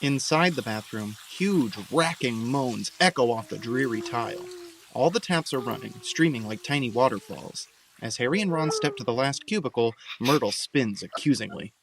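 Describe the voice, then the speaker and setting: A man's voice: mid-range voice, animated, moderate pace, noisy background. A man narrating a scripted audio drama, describing the scenes as a storyteller.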